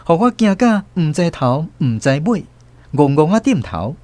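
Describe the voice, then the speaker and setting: Male storyteller, Taiwanese accent: expressive, animated literary narration, mid pitch, dry studio sound. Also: unhurried, no echo. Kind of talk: scripted literary story narration for radio drama.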